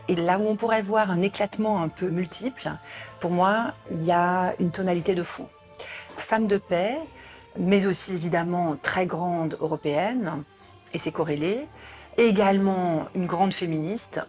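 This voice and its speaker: A woman in her late forties from southern France, a philosophy teacher speaking in a thoughtful, measured way. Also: lowish voice, a little mellow. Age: 40s. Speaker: philosophy teacher, podcast host. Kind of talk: calm spoken portrait.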